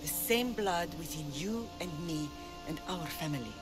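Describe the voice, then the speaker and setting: An actress playing a steadfast warrior mother who instructs her child in a measured, solemn way in a game cutscene. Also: lowish voice, lively intonation, low-quality recording. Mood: solemn, reassuring. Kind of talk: dramatic game cutscene acting. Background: prominent music.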